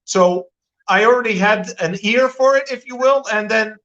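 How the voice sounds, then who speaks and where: Interview guest, male, American accent, bright high voice, rambling and self-correcting. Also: moderate pace, dull audio. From Turkey. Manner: talkative, frequent restarts and repeated words. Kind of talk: live-stream interview.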